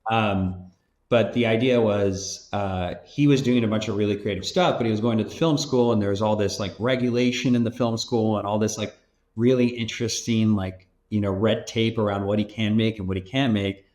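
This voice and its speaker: A man's voice: fairly low pitch, fairly even intonation, normal speed, darkish tone. A man in his thirties from Los Angeles, a guest on a podcast, talking casually with plenty of fillers and "like.". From Los Angeles, USA. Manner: rambling and loose, heavy use of "like" and "um". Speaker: venture capital investor, guest. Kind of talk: casual interview talk.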